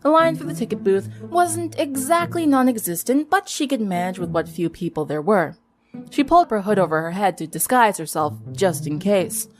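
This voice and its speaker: A woman's voice: mid-range voice, animated, moderate pace. A woman reading a story aloud as scripted dramatic narration. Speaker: fan fiction narrator. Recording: music under the voice. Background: music.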